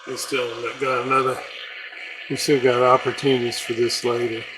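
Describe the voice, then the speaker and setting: Older guy doing an offhand radio hobby demo, low-key, pretty monotone. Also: normal speed, rough recording. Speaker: radio hobbyist demonstrating a receiver. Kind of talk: casual unscripted demonstration commentary.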